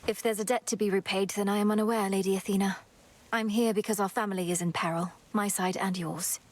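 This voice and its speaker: A woman's voice: mid-range voice, steady tone, quick pace, a little hiss or hum, close to an everyday voice. A woman voicing a young witch princess of the underworld who speaks in an earnest, formal and composed way. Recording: loud game music behind.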